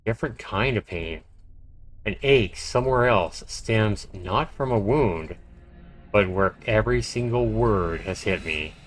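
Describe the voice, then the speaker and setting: A man's voice: fairly low pitch, unhurried, a little hiss or hum. A man livestreaming a horror visual novel, reading it aloud dramatically and chatting casually about it. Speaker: livestreamer playing and narrating a game.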